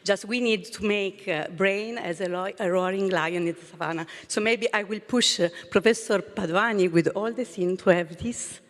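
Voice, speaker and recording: A woman from southern Italy with an Italian accent, giving closing thanks at a conference in halting English full of fillers. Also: mid-range voice. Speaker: pharma general manager giving closing remarks. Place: conference hall. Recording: long reverb of a conference hall.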